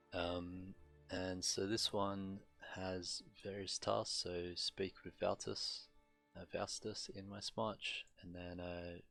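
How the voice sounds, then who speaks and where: A man with a low-pitched voice speaks in a very flat, even line as he streams a game. He comments haltingly and matter-of-factly, sometimes reading story text aloud. Soft game music plays behind him. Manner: hesitant, halting, matter-of-fact.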